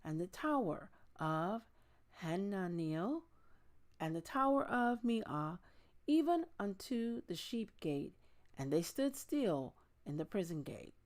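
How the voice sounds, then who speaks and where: A woman with a slightly low voice hosts a scripture reading. She takes her time over the words, very unhurried and deliberate, in the plain style of someone reading aloud to familiar listeners. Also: sing-song, a little mellow. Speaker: host of a daily Bible reading.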